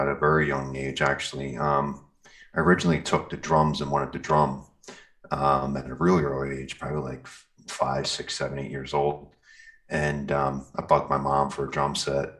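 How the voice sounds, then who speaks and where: Middle-aged male interview guest with an extremely low voice, loose and rambling, telling anecdotes casually. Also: steady tone, a little slow, fairly bright tone. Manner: informal, rambling. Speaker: interview guest, metal singer and guitarist. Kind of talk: casual conversational storytelling.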